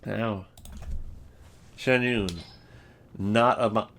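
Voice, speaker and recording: Lowish-voiced man rambling through commentary. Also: steady tone, extremely slow, fairly bright tone, a little hiss or hum. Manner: rambling, self-deprecating, jokey. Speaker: video creator ranking game voice lines. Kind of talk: casual off-the-cuff commentary.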